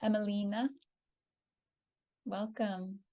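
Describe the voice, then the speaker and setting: Gentle woman facilitating a group call. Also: mid-range voice, level tone, takes it slowly.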